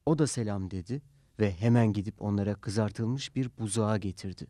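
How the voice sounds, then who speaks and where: A man's voice: lowish voice, normal speed, dark tone, no echo, studio quality. A man reciting translated scripture in a solemn, reverent and dramatic manner. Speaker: reader.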